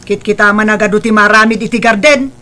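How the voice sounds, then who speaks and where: A woman's voice: medium pitch, steady tone, fairly quick, bright, forceful tone, close to an everyday voice. A woman voicing a harsh, strict middle-aged mother who speaks in a scolding, dismissive way.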